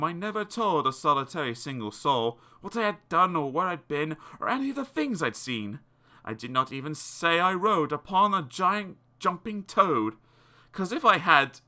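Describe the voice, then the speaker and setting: A man reading children's verse aloud as an audiobook narrator, theatrical and expressive. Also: fairly high pitch. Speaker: audiobook reader.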